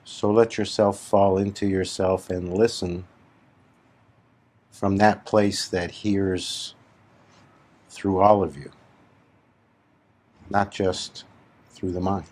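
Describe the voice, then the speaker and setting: A man with a deep voice guides a meditation as a spiritual teacher. He speaks very slowly and deliberately. His short phrases are set apart by long pauses. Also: steady tone, a little mellow. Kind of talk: slow guided meditation talk.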